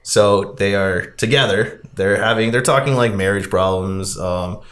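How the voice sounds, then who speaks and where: Low-voiced man casually recapping a film. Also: unhurried, a bit bright. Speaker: podcast host recapping a film.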